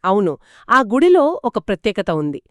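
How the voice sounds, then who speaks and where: Lively, expressive woman storyteller in a dry recording. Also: mid-range voice, normal speed, no echo. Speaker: storyteller host. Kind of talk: conversational moral storytelling in a two-host dialogue.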